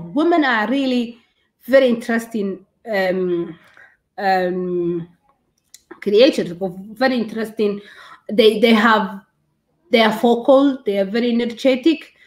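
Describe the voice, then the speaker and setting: Higher-pitched Somali woman, halting speech. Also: fairly bright tone, poor audio. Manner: halting, repeats and rephrases phrases. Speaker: guest doctor and women's rights activist. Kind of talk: interview answers on a live stream. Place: curtained room indoors, on a video call. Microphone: video call device mic.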